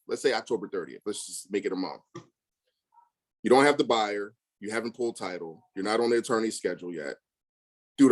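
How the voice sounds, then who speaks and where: A man talking casually and bluntly, full of slang and emphasis, acting out past conversations in quotes as he tells stories. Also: lowish voice. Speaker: real estate investor.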